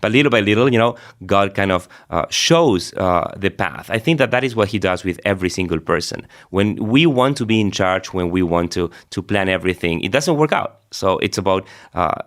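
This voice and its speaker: Low-voiced Argentine priest, middle-aged man. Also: lively intonation, fairly quick, hardly any pauses, fairly bright tone. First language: Spanish. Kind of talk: personal storytelling in an interview.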